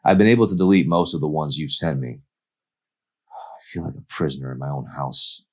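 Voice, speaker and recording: A man's voice: rumbling low, a little fast, darkish tone, husky. Guarded, unhappy married woman read by a man. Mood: weary.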